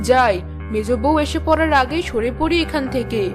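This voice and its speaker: A woman's voice: high-pitched, normal speed, over music, close to an everyday voice. A woman playing a boastful, devious daughter-in-law in a cartoon fairy tale. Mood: sly.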